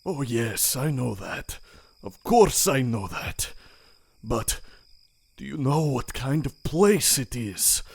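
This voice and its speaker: An actor playing a fearful, superstitious village innkeeper who pleads in a hushed, grave voice. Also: male voice, mid-range voice, lively intonation, slow pace, vocal fry, breathy edge, no echo, full character voice. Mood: pleading. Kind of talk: audio drama acting.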